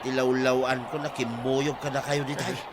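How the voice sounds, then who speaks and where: A man's voice: medium pitch, level tone, moderate pace, lightly acted. A man playing a chatty Ilocano buddy, noisy background. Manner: familiar, friendly banter. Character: chatty male village friend. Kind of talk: radio drama acting.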